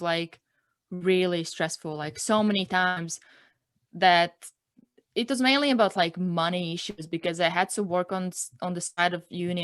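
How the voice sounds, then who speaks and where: A woman in her twenties from Latvia, speaking English with a Latvian accent as her second language, telling her own story as an interview guest in a reflective, earnest way, filling pauses with "like" and "um". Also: lowish voice, a bit bright, dry recording. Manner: reflective, earnest, peppers speech with "like" and "um". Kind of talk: personal story in a casual interview.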